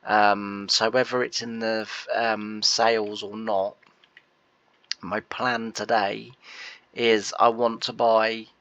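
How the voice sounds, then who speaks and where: A man's voice: on the low side, level tone, slow, fairly bright tone, dry recording, muffled recording. A man, nasal from a cold, rambling through casual gameplay commentary with many fillers. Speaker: gameplay host.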